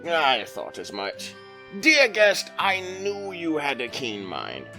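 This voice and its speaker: A man's voice: a little high, huge pitch swings, takes it slowly, pushed and bright, soft background music, exaggerated voice. A man playing a genial, theatrical keeper of tales who courteously addresses his guest in an audio drama. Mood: genial, grandiose. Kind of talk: audio drama host narration.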